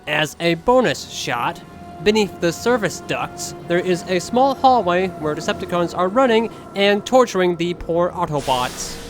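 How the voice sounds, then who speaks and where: A man's voice: high voice, expressive, measured pace. A man giving jokey, tongue-in-cheek commentary over game footage. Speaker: video narrator. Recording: music behind the voice.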